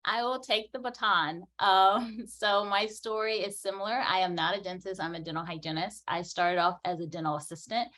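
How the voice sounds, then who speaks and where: A woman in her forties, a dental hygienist, giving an earnest, animated conference talk and laughing often. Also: mid-range voice, moderate pace, few pauses.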